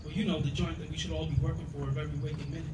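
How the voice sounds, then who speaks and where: A man's voice: on the high side, flat delivery, rapid-fire. A man giving a spoken word poetry reading with a rhythmic, rhyming delivery. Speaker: poet. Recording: echoey room from far off, loud noise.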